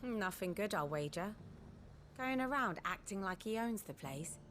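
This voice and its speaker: A woman's voice: medium pitch, lively intonation, measured pace, fairly bright tone, slight background noise. An actress playing a blunt, loyal townswoman in a fantasy game, colloquial and disapproving. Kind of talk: scripted fantasy game acting.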